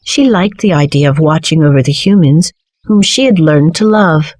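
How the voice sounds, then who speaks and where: A woman reading a children's storybook aloud in a slow, clear and even narration. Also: sits low, no echo.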